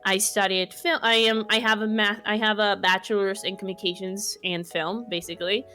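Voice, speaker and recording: A woman in her twenties from Mexico with a Mexican Spanish accent, a podcast guest speaking casually in English, her second language, pausing and restarting to find her words. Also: medium pitch, flat delivery, bright, forceful tone, soft background music. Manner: thoughtful, searching for words, many restarts. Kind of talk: casual conversation.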